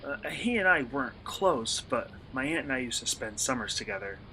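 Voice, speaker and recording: An actor playing an informal, breezy young traveler in a radio play. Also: male voice, medium pitch, expressive, normal speed, bright, forceful tone, slight background noise, low-quality recording. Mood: polite, reserved. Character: casual, upbeat young man traveler, travel vlogger.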